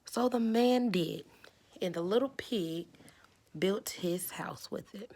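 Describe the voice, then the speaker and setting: Creaky-voiced woman reading a storybook aloud. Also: mid-range voice, takes it slowly, mellow. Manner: instructive, explains pictures and words simply. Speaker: storybook reader. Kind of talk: children's read-aloud with explanatory asides.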